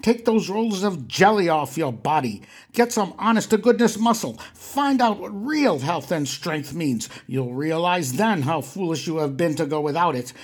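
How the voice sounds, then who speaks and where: A man's voice: a little high, lively intonation, moderate pace, few pauses. Guy doing an old-timey 1920s muscle salesman, bragging and urging, full-on huckster ad patter. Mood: exhorting. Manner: exhortatory, boastful huckster patter. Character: brash 1920s mail-order muscleman pitching body-building to weaklings. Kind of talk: vintage advertisement reading.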